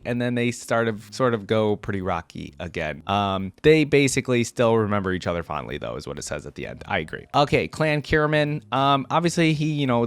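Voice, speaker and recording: A man's voice: mid-range voice, clear, resonant tone, dry recording. A man giving casual, off-the-cuff commentary as a video host, informal and hesitant as he thinks aloud.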